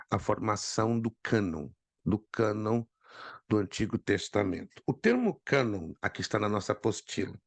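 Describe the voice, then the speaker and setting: A man, hoarse from a cold, teaching an online Bible class and calling on students by name. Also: lowish voice, no echo. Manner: repeats key points for emphasis.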